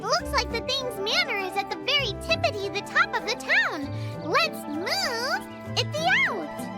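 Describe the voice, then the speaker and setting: An excitable little game mascot creature. The voice is squeaky and cutesy, bouncing through its range over loud music. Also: female voice, unhurried, heavily acted. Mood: perky. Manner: cutesy, chirpy, oddly phrased. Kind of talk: video game cutscene acting.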